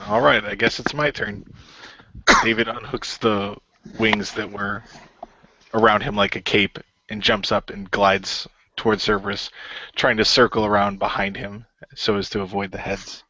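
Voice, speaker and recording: A man's voice: on the low side, flat delivery, unhurried, pushed and bright, dry recording. A man playing in an improvised radio play, narrating what his fantasy character does. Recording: dull lo-fi voice recording.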